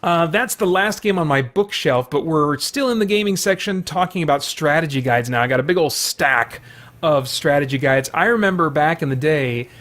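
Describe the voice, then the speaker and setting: A man's voice: fairly high pitch, animated, moderate pace, fairly bright tone. A middle-aged man hosting a video series, talking casually and rambling about video games.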